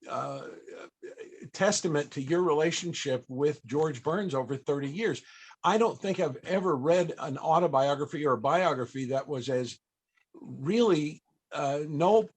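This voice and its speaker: A man with a fairly high voice hosts a panel interview. He speaks conversationally, in halting and effusive phrases that circle before they land. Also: husky.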